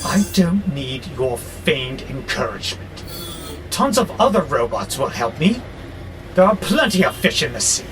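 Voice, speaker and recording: A man's voice: medium pitch, big pitch swings. A man acting in an audio drama as an arrogant, frustrated warehouse robot plotting war, with a grandiose, exasperated delivery. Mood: impatient. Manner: grandiose, slow and theatrical, exasperated. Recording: clearly noisy background hum.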